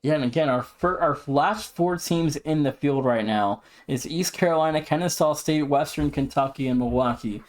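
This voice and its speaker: A man's voice: on the high side, normal speed, few pauses. A man co-hosting a sports podcast, commenting casually with an announcer-like, emphatic way of repeating himself. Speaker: podcast co-host.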